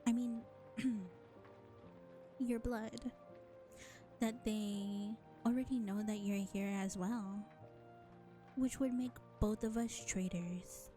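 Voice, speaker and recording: Sly, self-serving vampire played by a woman for audio roleplay: soft-edged mellow tone, unhurried sardonic delivery, faint music underneath. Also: fairly high pitch. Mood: exasperated teasing. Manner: sardonic, condescending, drawling with deliberate pauses. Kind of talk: scripted audio roleplay acting addressed to listener.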